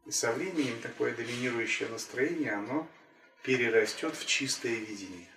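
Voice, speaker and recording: Calm male spiritual teacher, roomy and distant. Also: medium pitch, music in the background. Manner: calm, measured, repetitive phrasing. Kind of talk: spiritual talk.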